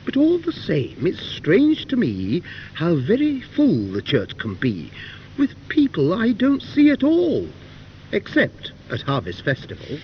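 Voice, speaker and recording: Man reciting as a prim, animated little church mouse. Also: high voice, exaggerated voice. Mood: wry, puzzled. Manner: prim, indignant, measured verse delivery. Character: fussy, pious, aggrieved male church mouse. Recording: dull, faintly noisy cassette recording.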